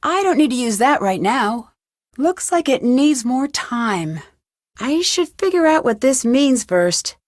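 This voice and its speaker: A woman voicing a curious, determined young female detective in an adventure game, talking to herself in clear, purposeful scripted lines. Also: sits high, very animated, measured pace, no room sound, clean studio sound. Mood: focused, musing. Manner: clear self-talk, inquisitive and purposeful. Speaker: game protagonist.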